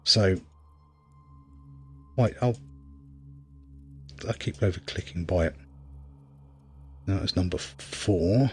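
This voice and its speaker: A man's voice: sits low, takes it slowly, pauses often, darkish tone, slightly rough, faint music behind. A man giving casual gameplay commentary, rambling wryly as he thinks aloud while playing. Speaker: game reviewer and player.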